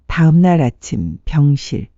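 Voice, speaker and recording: A narrator reading a dramatic story in a measured, sentimental storytelling manner. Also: medium pitch, very animated, warm tone, no echo, muffled recording. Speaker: audiobook narrator.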